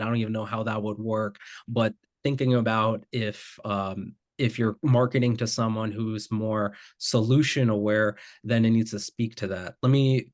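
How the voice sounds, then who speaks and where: A man with a fairly low voice walks through ad submissions one by one. His talk is casual and loose, full of filler words. He sounds like a guest expert giving live feedback. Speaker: guest ad expert reviewing ads. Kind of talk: live ad critique session.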